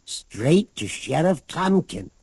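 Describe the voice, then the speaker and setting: A man's voice: a little high, very animated, slow, darkish tone, a little hiss or hum, heavily acted. An actor playing a scheming, impatient man in 1940s American radio drama, gloating and barking with an American accent. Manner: gloating, barking, repeats himself. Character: gloating, scheming, impatient man plotting against his brother.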